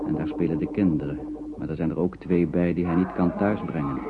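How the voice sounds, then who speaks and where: An actor narrating a radio play as a grave storyteller, measured and literary. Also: male voice, deep voice, steady tone, lightly acted. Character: grave male storyteller recounting strange dream scenes. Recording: tinny, noisy old radio play recording.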